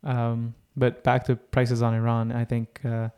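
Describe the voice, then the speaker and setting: A man's voice: medium pitch, monotone, measured pace, warm tone, smooth. A young man from Israel, chatting casually as a podcast co-host, eager and fond of repeating words for emphasis. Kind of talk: casual chat.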